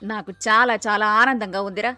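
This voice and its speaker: A woman acts out a middle-aged mother from a family moral story. She is boastful and doting yet bossy, scolding in exclamations. Her mid-pitched voice is dry and close over faint music. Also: fairly even intonation, fairly quick, pushed and bright, dry recording. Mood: delighted. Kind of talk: dramatised moral story dialogue.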